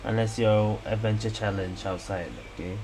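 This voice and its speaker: A woman's voice: extremely low pitch, steady tone, moderate pace. A woman giving casual reaction commentary as she streams a video game. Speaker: gameplay streamer. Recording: noisy mic with game audio.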